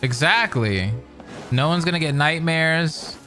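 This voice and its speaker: A man's voice: fairly high pitch, expressive, unhurried, bright, forceful tone, faint music behind. A man giving casual, joking reaction commentary over a story game. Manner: joking, sarcastic asides. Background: game audio.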